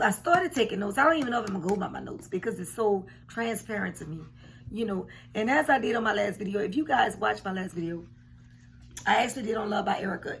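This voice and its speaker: Woman, forties, hoarse home vlogger; frank and emphatic, faint hum under the camera audio. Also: medium pitch. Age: 40s. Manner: frank, emphatic, repeats herself. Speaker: commentary vlogger. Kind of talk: casual reaction commentary. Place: home room indoors. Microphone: camera at desk distance.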